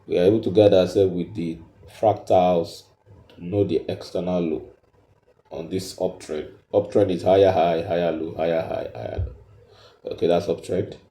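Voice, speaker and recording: A man giving a step-by-step tutorial, explaining haltingly and repeating himself as he points things out. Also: sits low, steady tone, mellow, roomy sound. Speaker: trading tutor.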